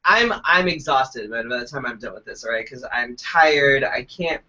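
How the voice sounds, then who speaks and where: A man's voice: medium pitch, moderate pace. Stuffy-nosed man telling a story. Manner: profane, animated storytelling. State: stuffy, congested. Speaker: co-host. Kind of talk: casual live web show chat. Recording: dull lo-fi web stream.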